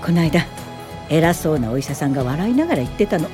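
An actress playing a resolute, compassionate female social worker and mentor in a radio drama, earnest and gently indignant. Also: sits low, big pitch swings, fast. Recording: studio radio drama with music underneath.

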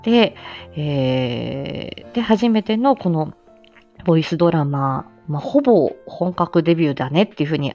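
A woman with a slightly low voice hosts a casual solo livestream, speaking in an effusive, humble way. The audio is a little dull and lo-fi, with music playing behind her. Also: warm tone. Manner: effusive, humble, often laughing mid-talk. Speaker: livestream host and amateur voice actor.

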